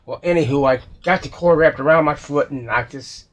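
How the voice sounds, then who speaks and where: A man's voice: a little high, fairly quick. A man talking casually through a radio repair at his workbench, rambling and thinking aloud with fillers and self-corrections. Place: home workshop.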